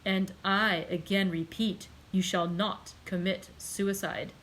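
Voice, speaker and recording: A woman reads as a composed, commanding nobleman, slightly lower and softer than her narrating voice. He speaks slowly and deliberately, with a gentle but unshakable firmness. Every phrase is weighed and steady, in a middling register. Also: slight background noise, low-quality recording. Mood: firm. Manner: slow, quiet and measured. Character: calm, commanding older nobleman, gently stern. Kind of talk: audiobook character reading.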